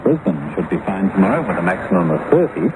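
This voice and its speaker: A man's voice: sits low, sing-song, quick pace, noisy background. A man presenting music and weather on the radio in a broadcast style. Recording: tinny 1980s shortwave, loud music.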